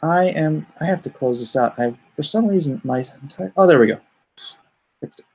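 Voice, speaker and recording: A man hosting a livestream in a casual, chatty way, informal and given to excited exclamations, often halting as he goes. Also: a little high, vocal fry, slightly rough. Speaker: livestream host and comic artist. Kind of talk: casual livestream chat, reacting and thanking viewers.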